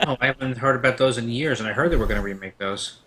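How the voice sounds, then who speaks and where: A man's voice: medium pitch, fast talker, background noise. A man on a discussion panel, recounting things casually and enthusiastically.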